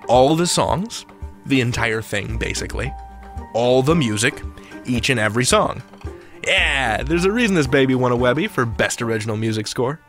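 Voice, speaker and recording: A man's voice: medium pitch, lively intonation, moderate pace, a bit bright, soft background music. A man hosting a podcast, reading scripted countdown narration in a wry, playful way. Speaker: podcast host.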